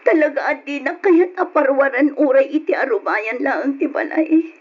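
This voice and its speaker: A very high-pitched woman's voice acting a long-suffering battered wife in an old Ilocano radio drama. She is plaintive and pleading, often close to tears. The recording is dull and lo-fi. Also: moderate pace, lightly acted. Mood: lonely despair. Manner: plaintive, emotional.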